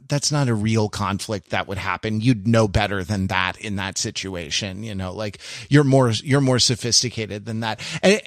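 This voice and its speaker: A man's voice: mid-range voice, fairly bright tone, dry recording. Man chatting on a podcast, close dry sound. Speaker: podcast host. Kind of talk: casual discussion.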